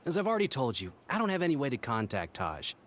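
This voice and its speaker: Exasperated, animated officer. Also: male voice, mid-range voice, fast talker, slight background noise. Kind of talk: video game voice acting.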